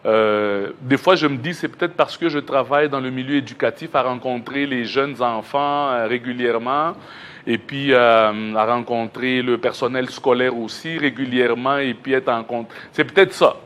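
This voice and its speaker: A middle-aged man from Kinshasa with a Québec-tinged French accent, a researcher answering interview questions in a measured, modest way in a TV studio. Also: mid-range voice, a bit bright, clear, resonant tone. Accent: Québécois-tinged French. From Kinshasa, Congo. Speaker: education researcher, guest.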